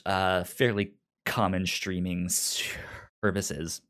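A man's voice: deep voice, level tone, slow, a bit bright. A man hosting a podcast in a casual, chatty style, with a stutter that repeats sounds and syllables. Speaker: podcast host.

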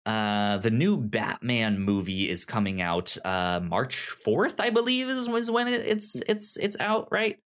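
Male podcast host, lowish voice, stuttering and rambling, casual recommendation chat with enthusiasm. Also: very animated, measured pace, few pauses, a bit bright, smooth. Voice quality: stutters, repeating syllables and words.

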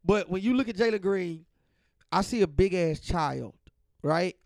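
A man's voice: sits high, animated, measured pace, gravelly, a little mellow, dry recording. A man co-hosting a podcast, blunt and opinionated, swearing freely in casual talk.

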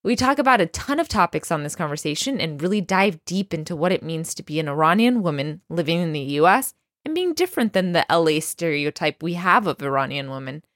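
A woman's voice: lowish voice, animated, fairly quick, fairly bright tone, dry recording, clean studio sound. A woman hosting a podcast, speaking as an interviewer and presenter. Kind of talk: scripted introduction, then casual interview chat.

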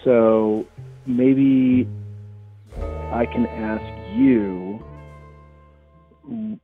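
A man's voice: mid-range voice, big pitch swings, extremely slow, phone-quality sound, soft background music. A man asking short, curious questions as the interviewer.

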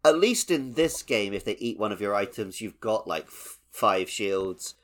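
A man's voice: fairly low pitch, animated, a little slow. Casual man chatting about games. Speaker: podcast co-host. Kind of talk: casual video game chat.

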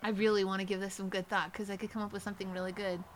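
A woman's voice: mid-range voice, level tone, racing, slight background noise. A woman co-hosting a podcast, telling casual comedic anecdotes in a rambling, self-deprecating way. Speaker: podcast co-host.

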